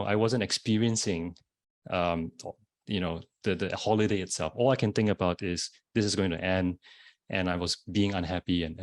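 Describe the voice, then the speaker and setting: A man with a Singaporean accent, a panelist sharing a reflective personal story with frequent fillers, speaking over a video call. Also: lowish voice. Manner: thoughtful, many fillers and restarts. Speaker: panelist, social entrepreneur.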